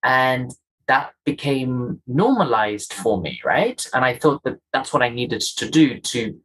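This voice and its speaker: Earnest man with a softened Kenyan accent. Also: medium pitch, big pitch swings, measured pace, husky, low-quality recording. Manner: earnest, emphatic repetitions. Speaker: guest. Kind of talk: reflective interview storytelling.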